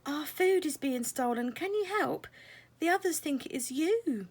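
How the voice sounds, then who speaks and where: A woman's voice: high voice, expressive, a little slow, voice pushed up. A woman voicing a kind young butterfly fairy in a children's storybook, earnest and pleading. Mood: urgent.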